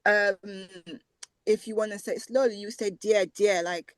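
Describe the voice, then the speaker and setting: A woman's voice: medium pitch, normal speed, no echo. A British woman, a language researcher, talking animatedly as a guest in a conversational interview. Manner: animated, repeats words for emphasis.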